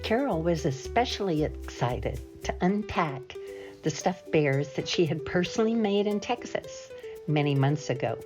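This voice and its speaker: A woman's voice: on the low side, lively intonation, measured pace. A woman reading a scripted narration over the footage. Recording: voice-over with music underneath.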